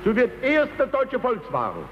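A male 1930s orator with a high, very animated voice. The archive recording is dull, with long hall reverberation around the declamation. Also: a little slow, over music.

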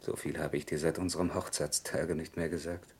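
A sober, reproachful husband in an old radio play. His voice is deep and very husky. He speaks gravely and deliberately, as a dutiful citizen would. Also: little pitch movement, darkish tone. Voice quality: husky, low. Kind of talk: old German radio drama acting.

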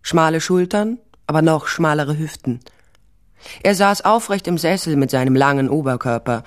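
A woman's voice: low voice, moderate pace, lightly acted. A woman playing a tall, sardonic private detective in a crime radio drama, speaking and narrating in a dry, self-possessed way. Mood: wry, confiding. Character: tall sardonic female private detective, former police officer. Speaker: lead actor and first-person narrator.

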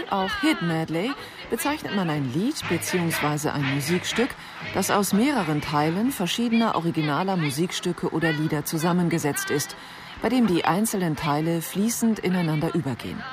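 Lowish-voiced woman reading a definition over music. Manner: matter-of-fact. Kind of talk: scripted narration, encyclopedic definition.

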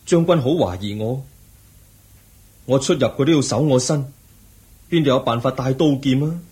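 Husky, brooding young prince. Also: male voice, mid-range voice, moderate pace, darkish tone. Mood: frustrated. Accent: Hong Kong Cantonese. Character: young orphaned prince disguised as palace cook, brooding. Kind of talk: Cantonese radio drama acting.

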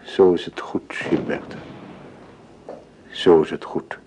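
A patient husband in a radio play. His voice is deep and slow, soothing and formally restrained. The old recording is clearly noisy. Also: steady tone, dark tone. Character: calm, forbearing husband and dutiful son. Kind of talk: radio drama acting.

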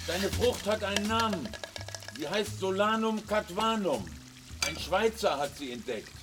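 An actor playing a knowing, dryly ironic researcher friend in a radio drama, explaining things sardonically. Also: male voice, high voice, lively intonation, unhurried, music in the background. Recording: clear sizzling kitchen noise.

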